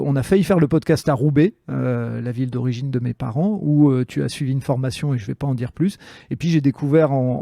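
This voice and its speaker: A man's voice: medium pitch, mellow, no room sound, studio quality. A man hosting a podcast in a chatty, rambling, enthusiastic way. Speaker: podcast host and interviewer. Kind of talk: casual conversational interview.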